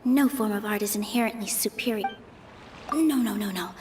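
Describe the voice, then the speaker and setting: A woman playing an earnest, gentle young theater dancer in a video game, speaking sincerely and with care. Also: mid-range voice, moderate pace, noisy background, natural voice. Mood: anxious, thinking.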